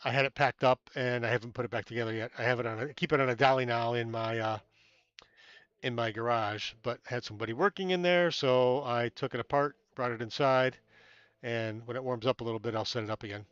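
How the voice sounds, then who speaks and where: A man's voice: medium pitch, a little fast, a bit bright, clean tone, no room sound, highs cut off. A man hosting a casual amateur astronomy live stream from inside a small tent, chatting with viewers, thanking them by name, rambling with many fillers, and a little stuffy-nosed.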